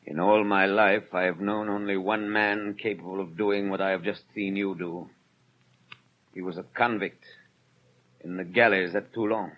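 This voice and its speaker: An actor playing a stern, unbending police inspector with a formal, commanding manner in a 1930s-style radio drama. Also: male voice, sits low, steady tone, moderate pace, natural voice. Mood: grave, measured. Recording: muffled 1930s radio broadcast, crowd noise.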